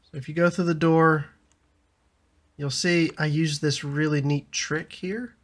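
A man in his thirties with a slightly raspy voice from a lingering cough, chatting casually over a building game and laughing often. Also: a little high, steady tone, measured pace. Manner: chatty, laughs often, frequent ums and restarts. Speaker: gaming YouTuber. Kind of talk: casual gameplay commentary.

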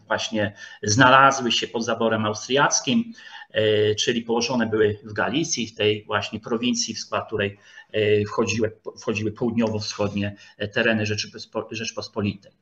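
Halting male lecturer on dull online audio. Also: lowish voice, flat delivery, bright, forceful tone. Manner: halting, frequent hesitation sounds and pauses, searching for words; formal, addresses listeners politely. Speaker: lecturer, historian. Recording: dull lo-fi video call audio.

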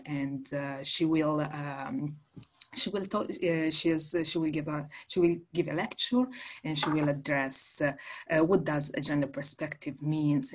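A woman hosting a video-call workshop, introducing a speaker hesitantly with many fillers. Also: sits low.

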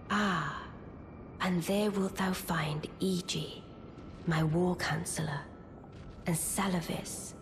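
An actress playing an aloof, imperious witch in a video game, speaking in stately archaic English. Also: sits low. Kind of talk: scripted video game character dialogue. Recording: loud music behind the voice. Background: music.

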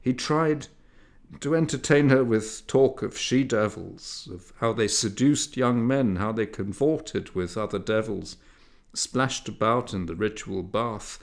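A man narrating a literary story in a measured, dramatic storytelling manner. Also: fairly low pitch, vocal fry. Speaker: audiobook narrator.

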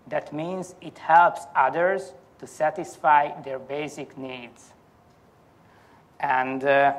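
A man in his thirties giving a formal academic presentation in a halting, deliberate manner. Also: fairly high pitch. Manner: halting, careful, deliberate delivery. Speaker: academic conference speaker. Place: indoor university lecture hall.

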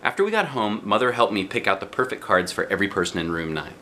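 A middle-aged man giving an expressive, playful read-aloud of a children's book. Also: on the low side, fast talker. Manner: theatrical, playful emphasis. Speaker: storyteller reading a children's book. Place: small living room, indoors. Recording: faint background room noise.

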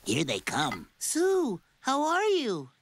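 A woman voicing a kindly, take-charge female character in a children's cartoon, with a bright, organising manner. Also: fairly high pitch, wildly swooping pitch, takes it slowly, slight background noise, heavily acted. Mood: concerned. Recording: music playing behind the voice.

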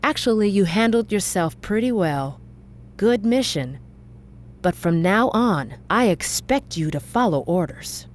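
A woman playing a stern, authoritative chief of a detective agency in an animated video game. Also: mid-range voice, lightly acted. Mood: measured approval. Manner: authoritative, measured.

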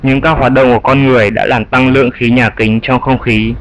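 A man's voice: medium pitch, steady tone, a little fast, natural voice, low-quality recording. A man voicing a wise, elderly grandfather bat who patiently explains things in an educational cartoon dub. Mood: patient, explaining. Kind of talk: dubbed educational cartoon dialogue.

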